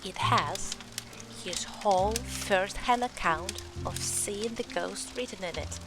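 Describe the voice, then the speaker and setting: A down-to-earth young woman with a gently teasing way in an audio drama. Her mid-pitched voice carries plenty of fry. Music is clearly heard behind her. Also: slow pace. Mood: confiding.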